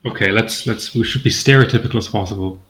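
Low-voiced man, podcast guest. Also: fast, fairly bright tone. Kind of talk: casual interview chat.

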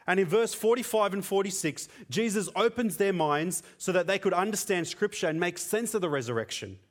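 A man's voice: high-pitched, animated, fairly quick. A man whose first language is Tamil, preaching a sermon in an earnest, conversational way with frequent fillers.

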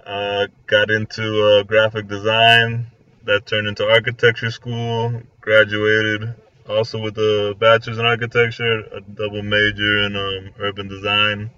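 A man's voice: on the low side, completely flat delivery, a little slow, bright, forceful tone, no echo. A man in his twenties with an American accent, talking casually in a conversation. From Florida, United States. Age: 20s. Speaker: recent architecture graduate in conversation. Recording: dry but distant, muffled mic.

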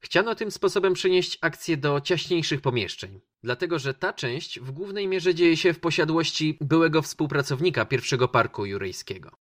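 A man's voice: fairly high pitch, lively intonation, normal speed, hardly any pauses, a bit bright. A man hosting a radio programme, delivering a scripted film review. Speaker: radio show host presenting films.

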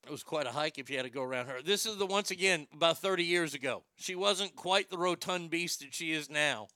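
A middle-aged radio host with a high tenor voice that sounds bright and forceful. His speech comes out smooth and crisp, recorded dry and close. He tells crude, brash stories with plenty of swearing. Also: a little fast, dry recording. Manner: crude, brash, animated storytelling with profanity. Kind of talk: casual storytelling monologue.

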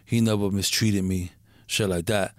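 A middle-aged man from Puerto Rico, a guest in a casual interview, who talks in a halting way, repeating words and using street slang. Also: lowish voice, steady tone, dry recording, studio quality.

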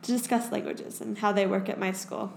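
A young woman with an American accent, hosting a podcast in measured, thoughtful scripted narration. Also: medium pitch, fairly even intonation, vocal fry, a little hiss or hum. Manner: measured, thoughtful, reads in short phrases. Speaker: podcast host and English teaching assistant.

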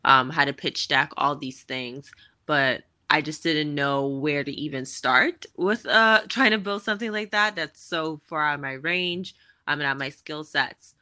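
Woman from the bahamas, 30s, low voice but really bright and forceful, chatty interview guest, dry close sound. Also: a little slow, no echo. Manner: chatty, upbeat, with frequent "um" and "like". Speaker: guest, fashion tech founder. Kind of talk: casual interview conversation.

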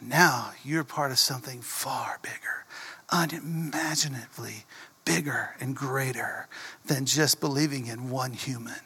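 A man from Texas, preaching a sermon in a folksy, animated way and dropping to a whisper for emphasis. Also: medium pitch, unhurried, slightly rough, big-room sound. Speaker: pastor. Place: church.

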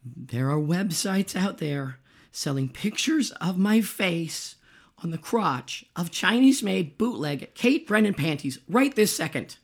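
A woman playing a neurotic, self-dramatizing rock singer in a naturalistic comedy radio play, hoarse, halting and defensive. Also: on the low side, lively intonation. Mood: exasperated. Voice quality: hoarse, husky. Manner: rambling, halting, emphatic self-justifying.

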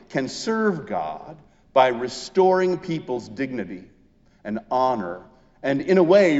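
A man preaching a sermon as a pastor, speaking in measured, emphatic phrases with deliberate pauses. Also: medium pitch, darkish tone. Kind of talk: Easter sermon, reading and expounding scripture. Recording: muffled, reverberant church hall sound.

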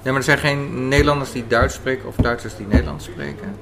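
A man's voice: mid-range voice, fast, gravelly, crowd noise. A man conducting a conversational interview as the interviewer, recorded on location. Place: on location at an Amsterdam café and square. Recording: noisy on-location recording with city ambience.

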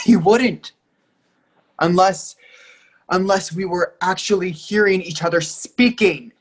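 A woman's voice: lowish voice, slow pace. A woman in a play reading, playing a young lover who is heartbroken and pleading, hurt and sarcastic by turns. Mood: hurt, indignant. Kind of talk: readers theatre play reading.

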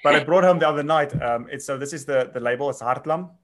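A man's voice: fairly high pitch, fast talker, fairly bright tone. A man in his late thirties from South Africa, whose first language is Afrikaans, chatting as a winemaker guest, rambling with many restarts and fillers. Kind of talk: casual interview chat.

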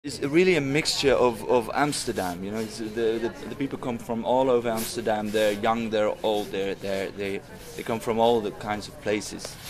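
A man's voice: lowish voice, normal speed, hardly any pauses. A man, a performing artist, talking earnestly in a casual interview and repeating words while he searches for them. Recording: crowd chatter behind.

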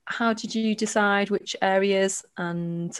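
A woman's voice: medium pitch, fairly even intonation, takes it slowly, clear, resonant tone. A woman hosting a property podcast, asking questions with frequent restarts. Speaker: podcast host. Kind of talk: podcast interview.

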